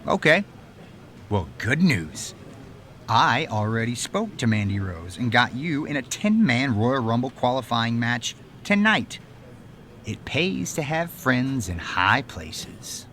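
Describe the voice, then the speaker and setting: A confident veteran wrestler in a video game story scene. He has a medium-pitched voice and talks like a scheming ally, persuasive and conspiratorial. There is clear noise behind the voice. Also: very animated, slow pace, pushed and bright, full character voice. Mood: smug. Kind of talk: video game cutscene acting.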